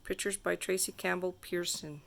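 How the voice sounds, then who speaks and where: A woman reading a rhyming picture book aloud to children in a theatrical, sing-song way with drawn-out emphasis. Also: fairly low pitch, steady tone, vocal fry, fairly bright tone. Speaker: storybook reader.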